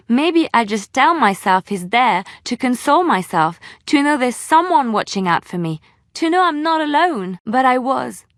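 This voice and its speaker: A woman voicing a gentle, devout young bard in a fantasy game, earnest and emotive with thoughtful pauses. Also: fairly high pitch, no room sound, clean studio sound, natural voice. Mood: sorrowful. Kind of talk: dramatic fantasy game dialogue acting.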